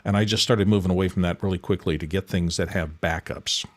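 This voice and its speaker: A man speaking as a panelist in an online discussion, in a measured explanatory style. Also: low-pitched, steady tone. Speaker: panelist who reads out audience questions.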